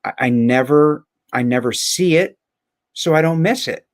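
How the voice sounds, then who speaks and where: A man's voice: on the high side, normal speed. A man co-hosting a podcast in casual, reflective conversation, earnest and given to repeating words for emphasis. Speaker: podcast co-host.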